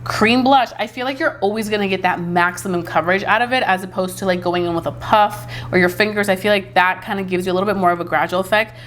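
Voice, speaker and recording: A woman's voice: medium pitch, fast, few pauses, fairly bright tone. A woman chats through a makeup demo in a casual, enthusiastic way, full of fillers. Her voice is a little congested from a recent cold. A faint hum sits under the recording. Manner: chatty, many fillers, enthusiastic. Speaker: beauty vlogger.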